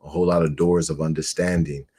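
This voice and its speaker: Man in his forties, low husky voice, measured and earnest host reading and commenting. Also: level tone, dark tone. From United States. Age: 40s.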